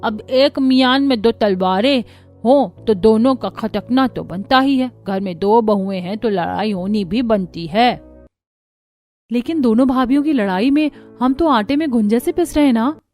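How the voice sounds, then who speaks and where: Woman acting high-voiced squabbling cartoon family woman. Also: measured pace, a little mellow, faint music behind, lightly acted. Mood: worried. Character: women of a squabbling family, competitive and fretful.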